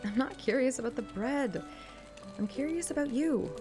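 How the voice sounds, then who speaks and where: A woman's voice: high-pitched, animated, moderate pace, voice pushed up. A woman voicing a curious, playful young heroine who narrates a romance game story. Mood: playfully insistent. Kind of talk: reading a game script aloud with dialogue. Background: light game music.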